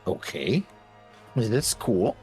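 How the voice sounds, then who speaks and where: A man's voice: fairly low pitch, very animated, takes it slowly, a little mellow, faint music behind. A man co-hosting a reaction show with casual, short, agreeing replies. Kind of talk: casual chat.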